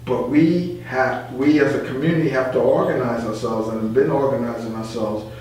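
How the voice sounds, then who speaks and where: A man's voice: mid-range voice, steady tone, moderate pace, a little mellow. A man speaking as a community advocate guest in an informal radio discussion, explaining and repeating phrases to stress his points. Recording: very echoey room, distant mic, faint noise.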